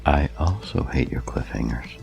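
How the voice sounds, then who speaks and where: A man's voice: rumbling low, animated, normal speed, gravelly, a little mellow, dull audio, faint music behind. A man co-hosting a podcast who throws in joking, wry asides. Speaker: podcast co-host.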